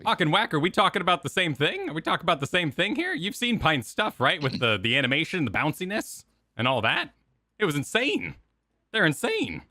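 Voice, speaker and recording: A man co-hosting a gaming reaction stream, giving casual, animated, bantering commentary. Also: a little high, a little fast, no room sound, clean studio sound.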